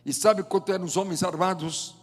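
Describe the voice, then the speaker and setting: A Brazilian man in his seventies preaching a sermon with deliberate, emphatic phrasing and rhetorical pauses. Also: high voice, fairly even intonation. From João Pessoa, Paraíba, northeast Brazil. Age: 70s. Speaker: pastor, preacher. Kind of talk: sermon with scripture reading and prayer. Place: large indoor church auditorium. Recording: long hall reverb of church auditorium.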